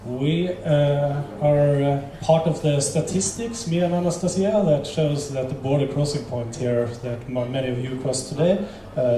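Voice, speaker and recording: A man with a Norwegian accent introducing himself on a panel, friendly and halting. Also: mid-range voice, dark tone. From Norway. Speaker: editor, panelist. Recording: echoey hall.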